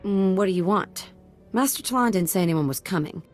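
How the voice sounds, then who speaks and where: An actress playing an anxious young servant in a video game, deferential, guarded and easily flustered. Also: female voice, medium pitch, animated, brisk, faint music behind, close to an everyday voice. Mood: guarded, busy.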